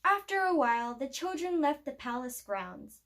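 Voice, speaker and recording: A woman reading a children's picture book aloud as a storyteller, with expressive, deliberate phrasing. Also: sits high.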